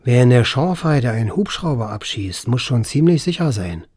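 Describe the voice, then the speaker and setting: An actor playing a thoughtful, skeptical East German lieutenant with a husky voice and weighty delivery in a radio crime drama. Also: male voice, mid-range voice, sing-song, normal speed, a little mellow.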